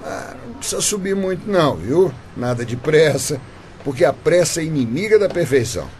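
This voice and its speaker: A man's voice: a little high, sing-song, fairly quick, a little mellow, a little hiss or hum. A man playing a crooked, smug Brazilian construction boss in a comic radio drama, unctuous and falsely caring.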